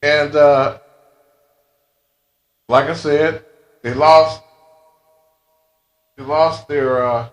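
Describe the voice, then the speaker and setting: A man speaking in a casual monologue, with a halting, blunt and emphatic way of talking. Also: fairly high pitch, steady tone, poor audio. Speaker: video creator telling a personal grievance.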